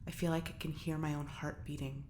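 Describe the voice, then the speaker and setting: A woman's voice: deep voice, little pitch movement, a little fast, darkish tone, a little hiss or hum, lightly acted. An actress playing an agitated, defiant woman prisoner in space, stammering and talking to herself as she fights panic in an audio drama monologue. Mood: weary, uneasy.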